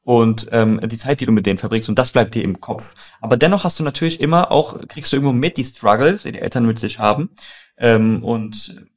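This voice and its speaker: A man's voice: mid-range voice, animated, a little fast. Young man, mid-range voice, casual storytelling, colloquial, emphatic, direct address. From Germany, born in Iran and raised in Mannheim. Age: young adult. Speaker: co-founder and co-host.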